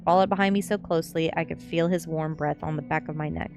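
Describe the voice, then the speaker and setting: Low-voiced woman reading a story. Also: steady tone, fast talker, music in the background. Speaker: streamer reading a novel aloud. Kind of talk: narrated story reading, ASMR stream.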